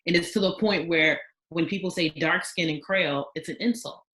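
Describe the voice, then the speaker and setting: Young woman, podcast guest, outspoken, animated, slightly low voice, acts out quotes. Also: moderate pace, low-quality recording. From Haitian background. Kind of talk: casual podcast conversation.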